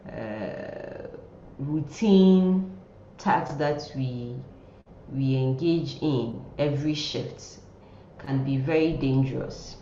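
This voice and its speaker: A woman giving an informal online nursing lecture in a halting way, often repeating words and phrases. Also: a bass voice, warm tone. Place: indoor room, online class. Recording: muffled, roomy sound with faint noise.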